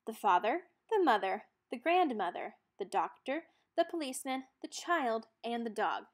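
A woman narrating a children's storybook aloud in an expressive read-aloud style. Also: fairly high pitch, moderate pace.

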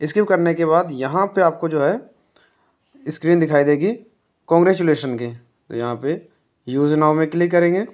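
Unhurried man explaining steps. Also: fairly high pitch, darkish tone. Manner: unhurried, explanatory, fills pauses with "jo hai". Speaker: tech tutorial presenter. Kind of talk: step-by-step phone tutorial.

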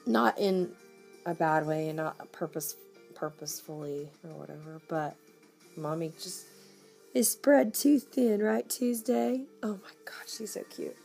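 A woman with a hoarse, coughing voice, chatting casually to camera in a nursery at home and cooing softly over a baby doll. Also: lowish voice, unhurried. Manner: gushing, coos softly to the doll. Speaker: reborn doll collector vlogger. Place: home nursery room, indoors. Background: Christmas music playing.

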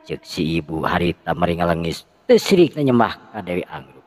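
A man with a Sundanese accent tells a folk tale in a lively, dramatic manner. His voice sits low. A long reverb follows his lines. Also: fairly quick. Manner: lively, with shouted sound effects. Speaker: storyteller.

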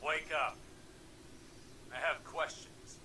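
A brash, impatient mage, sharp and demanding, with a fairly high voice for a man. He sounds a little distant from the mic, with noise beneath. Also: steady tone, slow pace. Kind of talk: video game cutscene voice acting. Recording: distant voice with noisy capture.

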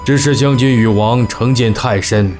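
An actor playing an earnest, scheming court adviser, formal and deferential, in an ancient-costume audio drama. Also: male voice, mid-range voice, moderate pace, over music, natural voice. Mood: gently persuasive.

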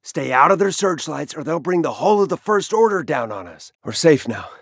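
A man's voice: on the high side, very animated, brisk, gravelly, no echo, clean studio sound, full character voice. An actor voicing a cocky, wisecracking young male starfighter pilot in a video game, with urgent delivery and wry asides. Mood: hushed, focused. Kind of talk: video game battle and mission barks.

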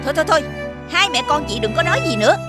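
Rapid, shrill-pitched exasperated eatery owner. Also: female voice, animated, over music. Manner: brusque, dismissive. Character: curt, exasperated female village eatery owner. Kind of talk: animated folktale dubbing.

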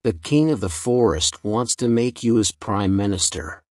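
A woman voicing a cunning male jackal in a storybook, smooth and coaxing. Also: extremely low pitch, animated, normal speed, darkish tone, no room sound, studio quality, voice pushed down. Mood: scheming. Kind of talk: storybook reading.

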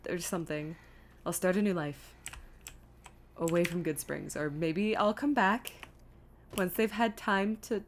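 A woman's voice: medium pitch, a little hiss or hum. A woman streaming a video game with casual commentary, thinking aloud in a self-deprecating, exasperated way.

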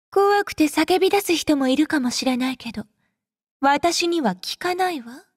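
Casting: haughty game femme fatale, young warrior, high-pitched, unhurried, teasing and possessive. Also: female voice, dry recording, clean studio sound. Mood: mocking, threatening. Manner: languid, teasing, coolly condescending. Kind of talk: Japanese game character voice lines.

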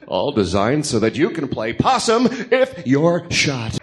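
A man's voice: medium pitch, wildly swooping pitch, a little slow. A man voicing a stern, coldly clever masked vigilante detective interrogating in a comedic radio drama. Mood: coolly analytical. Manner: interrogating, clipped.

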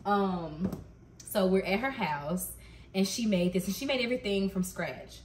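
A woman's voice: medium pitch, lively intonation, a little slow, slight background noise. A woman in her thirties chatting to her viewers while she cooks dinner at home, telling a story and laughing often. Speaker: mom vlogger. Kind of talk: cook-with-me vlog chat. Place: home kitchen, indoors. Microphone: camera across the kitchen. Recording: echoey kitchen, camera mic at a distance.

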